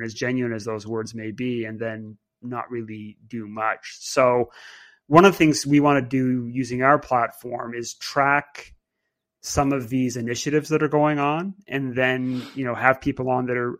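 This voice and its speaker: A man's voice: medium pitch, gravelly, fairly bright tone. A man hosting a podcast, talking thoughtfully in a casual way with many fillers and restarts. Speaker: podcast host. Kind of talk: casual conversational podcast talk.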